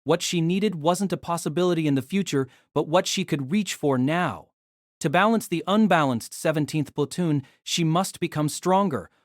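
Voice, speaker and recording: A narrator reading a novel aloud in an expressive storytelling manner. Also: medium pitch, normal speed, no echo, studio quality.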